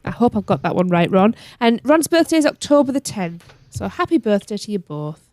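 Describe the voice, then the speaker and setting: A woman's voice: medium pitch, very animated, brisk, a little mellow, dry recording. A woman co-hosting a podcast, cheerful and playful, laughing easily. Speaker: podcast co-host. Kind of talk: casual podcast chat.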